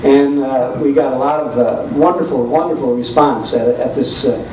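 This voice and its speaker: A man who hosts radio, speaking deliberately on a panel with emphatic, repetitive phrasing. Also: medium pitch, dark tone. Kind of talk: panel talk to a convention audience. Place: convention room, informal video recording. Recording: echoey room, distant mic, faint noise.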